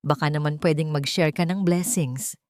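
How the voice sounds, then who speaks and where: A woman's voice: low-pitched, steady tone, normal speed, no room sound, clean studio sound, natural voice. A woman voicing a tough female prison inmate who mocks and goads in an audio drama. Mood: mocking. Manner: mocking, goading.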